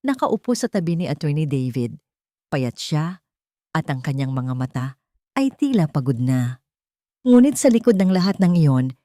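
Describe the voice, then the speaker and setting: A woman's voice: sits low, dark tone, no echo, studio quality. A woman reading a scripted dramatic story as its narrator.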